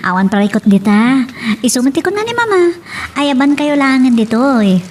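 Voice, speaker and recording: A woman's voice: high voice, very animated, normal speed, a little mellow, far from the mic. A woman with an Ilocano accent playing a friendly young restaurant owner in an audio romance drama. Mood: welcoming. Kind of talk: Filipino radio-style romance drama acting.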